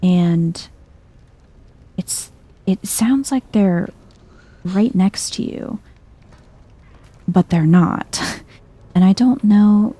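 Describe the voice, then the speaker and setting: Soft-spoken, slow, mellow woman gamer. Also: medium pitch. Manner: chill, understated, musing aloud. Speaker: video game streamer. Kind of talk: soft-spoken casual game commentary, ASMR vibe.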